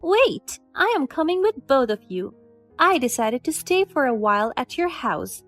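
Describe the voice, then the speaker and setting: A woman's voice: high voice, sing-song, unhurried, fairly bright tone, soft background music, pitched above the performer's own voice, heavily acted. A woman voicing a bossy, domineering older mother-in-law who talks with haughty authority. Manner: haughty, commanding.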